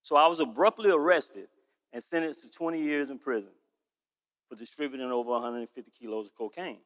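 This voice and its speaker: A man in his forties delivers a rehearsed founder's pitch in an earnest, storytelling manner, stretching out key phrases for weight. His voice sits at an everyday middle pitch. The sound carries a long echo from a big hall. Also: a bit bright. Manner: earnest storytelling with drawn-out emphasis. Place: stage in a large event hall. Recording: echoey hall.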